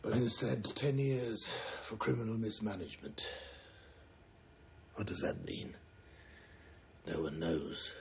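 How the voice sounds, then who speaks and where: Weary scientist, very low, hushed. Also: male voice, expressive, vocal fry, exaggerated voice. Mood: weary, resigned. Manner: resigned, halting. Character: weary, disillusioned Soviet scientist recording a secret confession. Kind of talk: confessional monologue in screen drama. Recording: faint noise, distant cassette-tape monologue.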